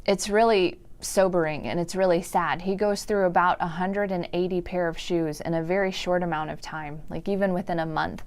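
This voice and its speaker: An earnest young woman with a slightly low voice answers interview questions. She explains things steadily and plainly. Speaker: speech and debate team member.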